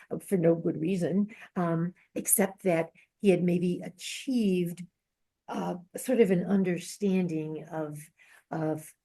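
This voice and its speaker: A woman's voice: fairly low pitch, a little mellow. An older woman talking in a casual book club discussion in a rambling, reflective way.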